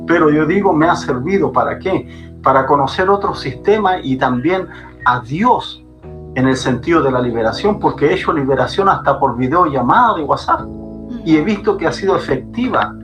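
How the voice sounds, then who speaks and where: A man's voice: fairly high pitch, animated, normal speed, music in the background. A man, a pastor, speaking fervently as a guest on a podcast and repeating phrases for emphasis. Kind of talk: preaching guest in a podcast conversation. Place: Chile.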